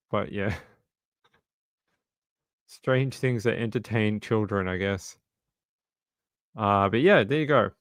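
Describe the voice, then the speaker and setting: A man's voice: lowish voice, a bit bright, smooth, dry recording. Rambling Australian man, dry close sound. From Sydney, Australia. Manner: rambling, self-correcting storyteller. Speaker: true crime streamer. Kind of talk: casual livestream chat.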